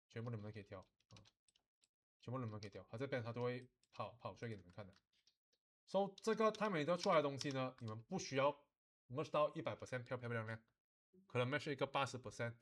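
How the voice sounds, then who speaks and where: A male instructor with a mid-pitched voice walks students through animation software. He talks informally, moves between two languages and repeats his explanations, pausing to check they understand. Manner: switches between Mandarin and English, repeating explanations. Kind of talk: live software tutorial, explaining step by step to students.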